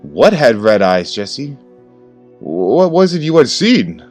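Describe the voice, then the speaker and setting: A man voicing a gruff, aged, commanding police detective in an urgent manner for a horror story. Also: medium pitch, big pitch swings, slow, a little mellow, soft background music, pitched above the performer's own voice. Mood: probing.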